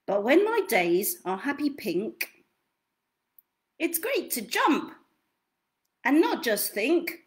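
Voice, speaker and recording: Young woman reading a kids book out loud, really slow and super expressive, stretches out words. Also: high voice. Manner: theatrical, deliberate, drawn-out delivery of key words. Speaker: children's storytime reader. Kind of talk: expressive picture-book read-aloud. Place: small indoor room at home.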